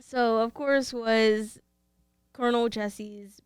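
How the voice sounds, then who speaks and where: A woman's voice: on the high side, steady tone, slow pace, clear, resonant tone, no room sound, clean studio sound. A young woman with a Texan accent, co-hosting a podcast in a casual, playful way and reading out facts. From Texas. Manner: playful, teasing. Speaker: podcast co-host.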